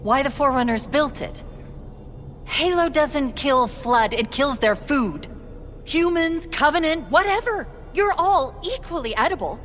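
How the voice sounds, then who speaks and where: Snarky fierce female ai from a game, highish voice, biting delivery, reverby room w some noise behind. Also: animated, a little slow, fairly bright tone. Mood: angry, emphatic. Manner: sarcastic, biting, emphatic. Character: sharp-tongued, defiant female artificial intelligence. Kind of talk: video game cutscene acting.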